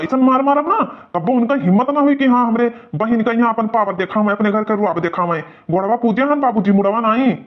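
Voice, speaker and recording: Very high-pitched blustering Awadhi village man , acted. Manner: blustering, scolding. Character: blustering, quarrelsome village men of a feuding family. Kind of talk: exaggerated cartoon comedy dialogue. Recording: dull, lo-fi cartoon soundtrack.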